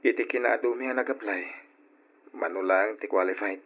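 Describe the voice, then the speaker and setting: A man's voice: lowish voice, normal speed, slightly rough. A man with an Ilocano accent playing a resigned young jobless husband in a radio drama. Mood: resigned, subdued. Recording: dull lo-fi old radio recording.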